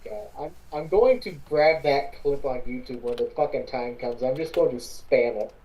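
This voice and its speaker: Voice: medium pitch, moderate pace, dark tone, rough recording. A laid-back co-host in a casual chat, loosely profane while picking parts for a story reading.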